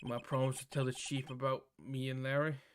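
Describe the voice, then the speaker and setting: A man voicing an earnest, inwardly wry young male lawyer from a visual novel, a little halting. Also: medium pitch, flat delivery, noisy background, natural voice. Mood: reflective. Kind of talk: visual novel read-aloud.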